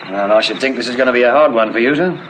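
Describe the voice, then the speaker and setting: An actor playing a dutiful, deferential police sergeant in a vintage radio detective drama, respectful and obedient. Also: male voice, medium pitch, racing, fairly bright tone, close to an everyday voice, poor audio. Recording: old radio broadcast with background noise.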